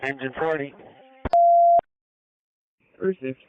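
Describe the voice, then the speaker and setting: A man's voice: medium pitch, slow pace. A man giving terse emergency service messages over a radio scanner channel. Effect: radio transmission. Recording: noisy tinny radio scanner, clicks.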